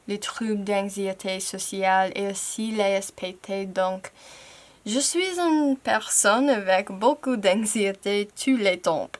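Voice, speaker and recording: A young American woman, a native English speaker, talking through a personal video in careful learner French. She speaks hesitantly, pausing and correcting herself as she goes. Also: medium pitch. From United States. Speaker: language-learning YouTuber.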